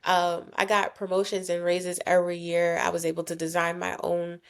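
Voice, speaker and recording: A woman's voice: on the low side, monotone, moderate pace, vocal fry, clean tone. A woman in her thirties from South Jersey, a guest talking candidly about her career in a casual podcast interview, often acting out what others said to her. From South Jersey, USA. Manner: candid and chatty, acts out quoted voices. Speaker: guest, nonprofit founder.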